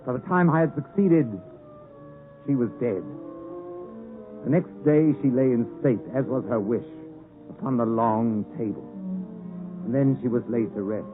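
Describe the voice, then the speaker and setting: An earnest, loyal young gentleman acted by a man in a 1950s radio drama. His voice sits a little high and his delivery is dramatic. The recording is thin, muffled broadcast audio. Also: natural voice.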